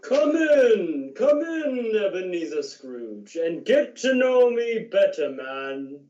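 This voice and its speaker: A grand, jolly giant of a spirit played by a man speaks slowly and mellowly, as in a radio play. The voice echoes in a roomy, dull recording. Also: high voice, very animated, darkish tone, full character voice. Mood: jovial, grand. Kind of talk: amateur radio drama acting.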